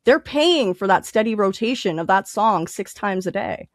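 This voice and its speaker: A woman's voice: mid-range voice, animated, normal speed, no room sound, clean studio sound. A woman guest in a casual podcast interview, explaining things emphatically and repeating her key points. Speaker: podcast guest, independent music artist.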